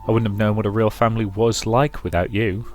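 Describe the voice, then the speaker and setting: A man voicing a gentle, teasing young woman, a loyal friend in a visual novel, soft-spoken and measured. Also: on the low side, fairly even intonation, faint music behind, natural voice. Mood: fond.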